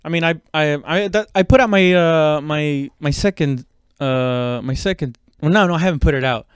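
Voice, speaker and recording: A man talking in a casual, rambling podcast monologue, halting and conversational, with frequent swearing. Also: on the high side, clean tone, dry recording, studio quality. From immigrant, moved abroad at seven. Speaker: stand-up comedian podcast host.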